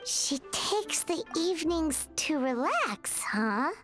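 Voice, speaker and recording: A woman voicing a small, excitable, childlike fairy companion with a chirpy manner in a video game. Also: high voice, slow, heavily acted. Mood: curious. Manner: chirpy, refers to self in third person. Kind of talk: animated video game voice acting. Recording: game music behind.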